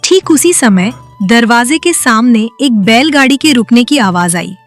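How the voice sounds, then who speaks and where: A woman's voice: on the high side, lively intonation, a little slow, no room sound, soft background music. Woman narrating a cartoon story.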